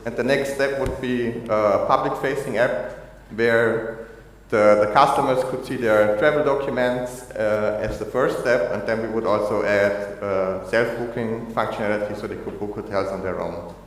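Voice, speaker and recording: A man from Austria giving a technical conference talk, halting with many fillers and restarts. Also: fairly low pitch, steady tone. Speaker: conference speaker. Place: conference hall. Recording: echoey hall, long reverb.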